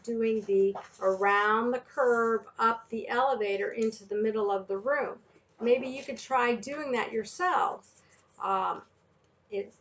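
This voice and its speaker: A woman reading a picture book and teaching young children, speaking deliberately and putting questions to her listeners. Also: a little high, muffled recording. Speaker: children's story reader and teacher.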